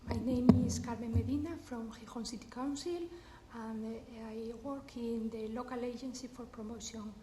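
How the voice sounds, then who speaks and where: A woman's voice: on the high side, fairly even intonation, moderate pace, background noise. A woman briefly introducing herself at a meeting, with hesitations. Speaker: local agency worker. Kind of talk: round-table self-introduction. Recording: echoey hall with faint ambience.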